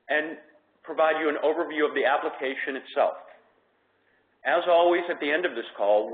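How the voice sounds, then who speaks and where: Man presenting over a tinny phone line. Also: mid-range voice. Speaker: web conference host and presenter. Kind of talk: scripted presentation. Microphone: telephone conference call.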